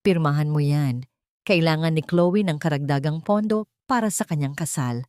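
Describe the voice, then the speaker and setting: A woman's voice: low voice, moderate pace, darkish tone, clear, resonant tone, dry recording, close to an everyday voice. A harsh, commanding rich mother, as a female narrator plays her in an audio drama. She is cold and greedy, scolding her daughter, and the sound is dry. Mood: cold, demanding. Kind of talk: Tagalog audio drama acting.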